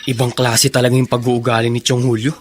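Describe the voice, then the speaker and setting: A Filipino actor playing an earnest, respectful young adult son in a Tagalog radio drama. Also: male voice, mid-range voice, monotone, fast, over loud music, natural voice. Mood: indignant.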